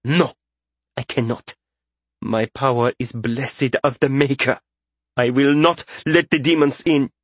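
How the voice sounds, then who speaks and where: A man's voice: mid-range voice, steady tone, measured pace, slightly rough, no echo, voice pushed up. A man voicing a defiant, fanatical young purifier in an audiobook, with a righteous, declaiming manner. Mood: proud defiance.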